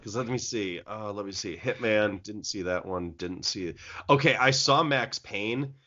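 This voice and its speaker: Low-voiced man in casual chat, lo-fi. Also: moderate pace, bright, forceful tone. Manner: rambling, restarts, mocking quotes. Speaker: podcast co-host. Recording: dull lo-fi audio.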